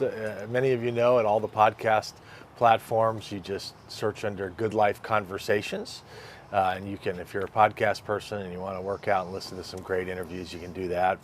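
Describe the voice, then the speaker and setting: A man's voice: lowish voice, slight background noise. A man hosting a casual interview talk show, upbeat and affirming, with lots of fillers.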